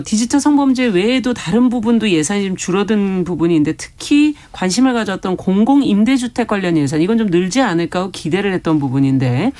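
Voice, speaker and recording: A woman with a medium-pitched voice hosts a current-affairs radio programme. She sums up the discussion and puts questions to her guests in an announcer's interviewing style. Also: a little mellow. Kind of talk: current-affairs interviewing with frequent short acknowledgements.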